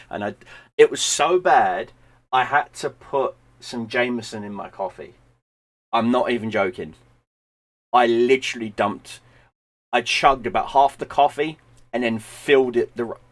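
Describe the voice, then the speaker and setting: Brief: male streamer, hoarse voice, rambling friendly sign-off, mid pitch. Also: fairly bright tone. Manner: rambling, repeats words, friendly. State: losing his voice, sinus infection. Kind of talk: casual stream sign-off chat. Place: at home.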